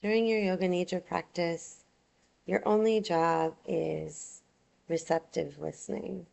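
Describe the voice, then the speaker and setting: A woman teaching yoga nidra, guiding a relaxation meditation in a soothing, unhurried, gentle way. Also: fairly low pitch, steady tone, gravelly.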